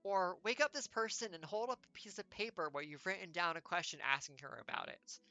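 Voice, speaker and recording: A woman's voice: fairly low pitch, lively intonation, fairly quick, bright, forceful tone. A woman streamer reading a choose-your-own-adventure game aloud, with playful, wry jokey asides.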